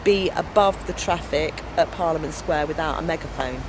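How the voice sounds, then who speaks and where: A woman's voice: deep voice, moderate pace, background noise. An English woman with a big, strong voice, telling stories in a casual outdoor interview. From England. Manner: loud, strong voice with lively storytelling. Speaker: interviewee, activist and storyteller.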